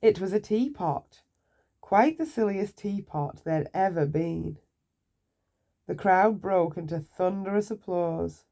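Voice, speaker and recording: Slow, mellow woman reading bedtime stories. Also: lowish voice, gravelly. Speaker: storyteller. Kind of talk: read-aloud children's bedtime story narration.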